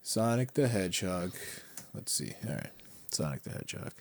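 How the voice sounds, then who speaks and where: A man's voice: sits low, big pitch swings, moderate pace, creaky, darkish tone, no echo. A man co-hosting a podcast in casual chat, blunt and opinionated, with frequent swearing. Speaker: podcast co-host. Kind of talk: casual pop culture chat.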